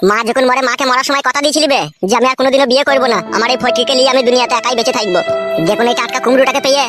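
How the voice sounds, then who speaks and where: A high, extremely fast voice from a man plays a self-pitying young son in a comedy cartoon. He is crude, whiny and defiant, and music plays behind him. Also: fairly even intonation. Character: aggrieved, foul-mouthed, self-pitying young married son. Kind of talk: Bengali comedy cartoon dub.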